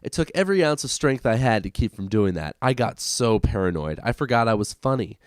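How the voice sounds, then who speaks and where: Male amateur reader-host, mid-pitched and animated, casual and irreverent delivery. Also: brisk, no room sound, studio quality. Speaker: host of a comedic reading podcast. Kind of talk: amateur reading aloud with casual asides.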